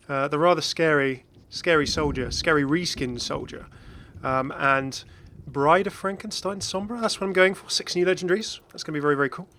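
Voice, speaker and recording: Man, higher-pitched bright voice, casual gaming host, chatty, hesitant asides. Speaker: video host, game content creator.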